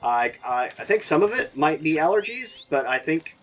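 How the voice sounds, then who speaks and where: A man hosting a game playthrough, chatting casually and rambling, his voice hoarse from a sore throat. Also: a little high, big pitch swings, moderate pace, faint music behind. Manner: rambling, chatty, laughs often. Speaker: gaming video host. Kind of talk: casual let's-play commentary.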